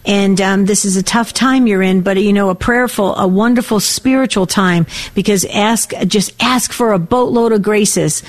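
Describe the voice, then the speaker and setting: An earnest woman hosting a call-in prayer show on the radio. She encourages her callers gently, often restarting her sentences as she talks. Her pitch sits in the middle of her range. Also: moderate pace, few pauses. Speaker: radio host.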